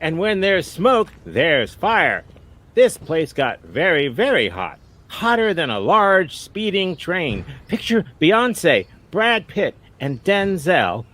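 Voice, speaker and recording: A man's voice: fairly high pitch, sing-song, takes it slowly, a bit bright, exaggerated voice. A man playing an earnest TV field reporter in a parody newscast, delivering trivial facts with solemn weight.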